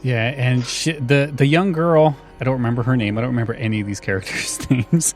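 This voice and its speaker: A man's voice: medium pitch, steady tone, a little fast, soft background music. A man hosting a casual film discussion, bluntly and jokingly complaining. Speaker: podcast host.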